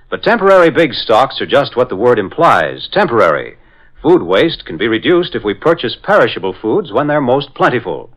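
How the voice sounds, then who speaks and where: Animated 1940s American radio announcer man. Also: mid-range voice, low-quality recording.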